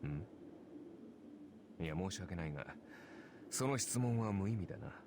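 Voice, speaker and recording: A cynical, resigned knight from a Japanese visual novel. His voice is very deep and he talks quickly, terse and dismissive. Clear noise sits behind the game audio. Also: expressive. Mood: calm, resigned. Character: cynical red-cloaked male knight, calm and resigned.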